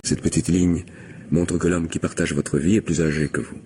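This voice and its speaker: Deep-voiced smooth-talking palm reader played by a man. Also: slight background noise, natural voice. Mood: insinuating, tender. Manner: insistent, flattering, hesitant pauses while divining. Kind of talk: old-fashioned French radio mystery play acting.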